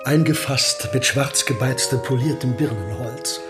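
Radio drama: courtly old male collector of macabre keepsakes, played by an actor, gallant and florid, mid-pitched. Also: a little slow, music in the background, lightly acted. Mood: proud, ceremonious. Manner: gallant, florid, French phrases. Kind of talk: German radio play acting.

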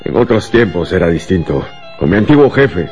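An actor playing an agitated, self-justifying husband in a radio drama, insistent and theatrical, coaxing and bitter by turns. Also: male voice, sits low, sing-song, moderate pace, a little mellow, faint music behind.

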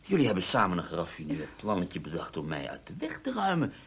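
Man playing a sardonic, husky-voiced husband. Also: deep voice, huge pitch swings, fast talker, fairly bright tone, exaggerated voice. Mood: sarcastic accusation. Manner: sardonic, mocking pet names. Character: sarcastic husband, needling, incredulous, coolly accusing his wife. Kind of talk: Dutch radio play dialogue.

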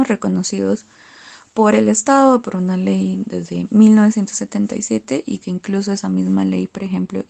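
A woman with a Costa Rican accent giving an informal explanation as a radio contributor, halting as she searches for words. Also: mid-range voice, creaky, warm tone. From Costa Rica.

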